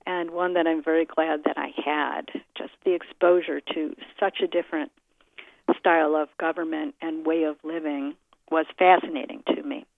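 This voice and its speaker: A middle-aged American woman giving reflective interview answers in a measured, deliberate way, pausing often as she thinks. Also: low-pitched, level tone, vocal fry, dry recording. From United States. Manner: measured, deliberate, with frequent pauses. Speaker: interviewee, concert pianist. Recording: muffled narrow-band phone or radio-like sound.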